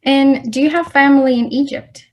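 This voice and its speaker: A woman interviewer with a fairly high voice. She asks her questions softly and with curiosity, keeping her turns short. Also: fairly even intonation, normal speed, low-quality recording.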